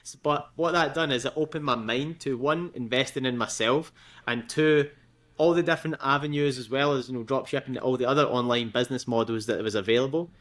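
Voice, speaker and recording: A young Scottish man speaks as a podcast guest. His talk is chatty and loose, full of fillers and quick laughs. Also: medium pitch, fairly bright tone. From Scotland. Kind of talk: casual interview.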